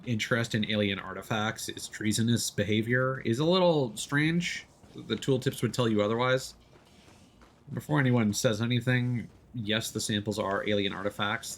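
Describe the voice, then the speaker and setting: A man's voice: on the low side, fairly bright tone, a little hiss or hum. A man giving casual live game commentary, thinking aloud and explaining things as he plays. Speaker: streamer, gamer.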